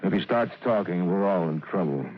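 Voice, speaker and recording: A ruthless, irritable American racket boss in an old radio drama. His deep, gravelly voice swings animatedly in pitch. It comes through a tinny vintage recording. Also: expressive, a little fast, a little hiss or hum. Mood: cold, menacing. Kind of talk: 1950s radio drama acting.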